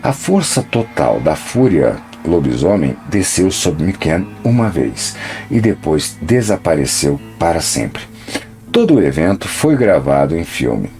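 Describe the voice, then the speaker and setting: Male narrator, scripted documentary telling, deep low voice, lively animated delivery. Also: faint music behind. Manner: dramatic, measured storytelling.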